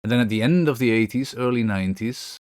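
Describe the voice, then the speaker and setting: A man presenting an informal, unscripted technical explanation in a measured way, as a voice-over. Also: mid-range voice, darkish tone, dry recording, clean studio sound.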